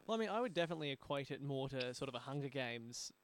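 A man's voice: mid-range voice, animated, fast. A man speaking as a host, conducting a conversational interview. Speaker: talk show host, interviewer.

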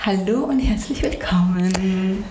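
A woman's voice: mid-range voice, measured pace, warm tone. A woman hosting a podcast in an office, speaking in an informal, complimentary, casual way. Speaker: podcast host. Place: office room. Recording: roomy echo, clear background noise.

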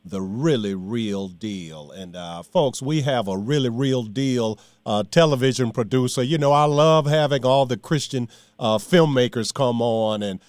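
Man, talk radio host, excitable, lots of fillers, dry studio sound. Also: mid-range voice, unhurried, no echo. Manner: excitable, laughs a lot, many fillers.

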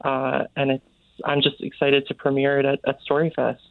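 A man's voice: medium pitch, completely flat delivery, normal speed, vocal fry, dry recording. American man on a tinny phone-like line. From Connecticut, United States. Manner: informal, peppers speech with "like". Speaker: interview guest, author and playwright. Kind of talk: casual interview talk.

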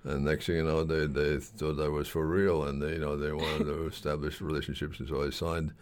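An older man with an extremely low voice talks in a loose, conversational interview style. His delivery is halting and rambling, circling back over phrases as he goes. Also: level tone. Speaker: surgeon interview guest.

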